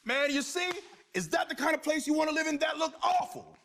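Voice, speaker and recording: A man's voice: extremely high pitch, a little fast, creaky, a bit bright, full character voice. A man playing a skeptical, exasperated young guy in slangy video game banter. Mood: dismissive. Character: skeptical young male pal, exasperated with his friends. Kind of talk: scripted comic banter in a video game cutscene. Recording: music under the voice.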